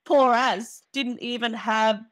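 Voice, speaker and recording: A woman from Australia hosting a podcast, chatting casually and relating to her guest with lively stories of her own. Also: fairly high pitch, slow pace, dry recording, studio quality. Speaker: podcast host. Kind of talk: casual chat.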